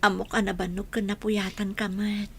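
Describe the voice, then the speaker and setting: An actress with an Ilocano accent playing a grieving, weary village widow in a radio drama, her voice creaky. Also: mid-range voice, little pitch movement, normal speed. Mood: weary, subdued. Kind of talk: Filipino radio drama acting. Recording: noisy track with background ambience.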